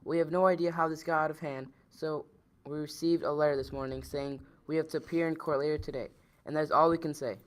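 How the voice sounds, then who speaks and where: A mid-pitched voice reads scripted news copy and statements. The delivery moves along very quickly. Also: steady tone. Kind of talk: quick scripted reading of news lines and quotes.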